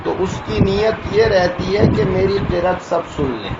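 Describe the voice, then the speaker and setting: A man's voice: high voice, measured pace, gravelly. A man preaching as an Islamic cleric, explaining a ruling informally and often checking that listeners understand. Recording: noisy, echoey, muffled recording with traffic.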